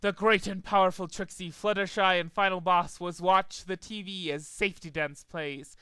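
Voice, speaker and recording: A man's voice: sits high, fairly even intonation, moderate pace, bright, forceful tone, smooth, no room sound. A man reading a story aloud as a dramatic amateur narrator, sometimes stumbling over the words. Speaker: amateur fan fiction reader.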